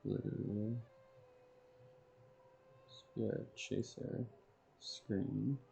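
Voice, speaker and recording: Male game streamer: very slow, halting self-talk, heavy vocal fry. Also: on the low side, level tone, warm tone, a little hiss or hum. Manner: halting, terse, talking to himself. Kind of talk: muttering item names while making a list.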